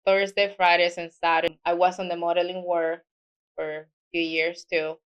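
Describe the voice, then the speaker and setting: Low-voiced woman with a Spanish accent. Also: normal speed, clear, resonant tone, highs cut off. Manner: animated storytelling, non-native grammar slips. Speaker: guest, mortgage loan officer. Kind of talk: casual interview conversation.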